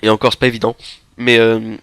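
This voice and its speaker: A young man with a stuffy nose from a cold, giving rambling, halting gameplay commentary into a headset mic. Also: fairly low pitch, completely flat delivery, a bit bright, smooth, no echo. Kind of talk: game walkthrough commentary. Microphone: headset microphone.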